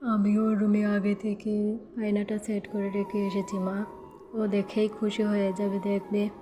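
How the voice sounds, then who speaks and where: Woman doing a stubborn young big brother from a cartoon, mellow, kinda even, music behind. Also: mid-range voice, a little slow, lightly acted. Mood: affectionate. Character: stubborn, affectionate young man, doting older brother.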